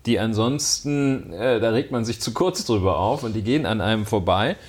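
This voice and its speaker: A man co-hosting a podcast, a lawyer by profession, talking with dry, deadpan humor. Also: fairly low pitch, normal speed, slightly rough. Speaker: defense lawyer, co-host. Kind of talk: casual podcast chat. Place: indoor room with the host.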